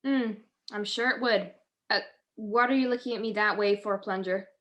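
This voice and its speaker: A woman playing a quick-witted, wry amateur sleuth in a radio-style mystery, with a teasing manner. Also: mid-range voice, a bit bright, lo-fi sound, natural voice. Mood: wry, probing. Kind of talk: 1940s radio mystery acting.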